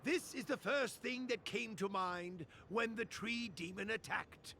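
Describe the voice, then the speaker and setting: An actor, a woman, playing an eccentric, excitable genius inventor with theatrical flair in an anime-style video game, declaiming grandly. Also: mid-range voice, measured pace, slight background noise. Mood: proud, showy.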